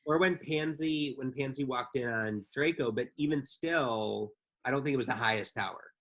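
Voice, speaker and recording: A woman's voice: very deep voice, normal speed, a bit bright, rough recording. A woman co-hosting a podcast in casual banter, with dry, teasing humour. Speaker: podcast co-host.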